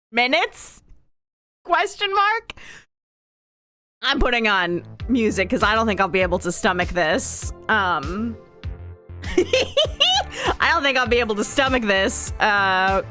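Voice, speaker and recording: A woman's voice: a little high, pushed and bright. A woman livestreamer rambling casually to her viewers in a wry way, leaning on and repeating words with exaggerated emphasis.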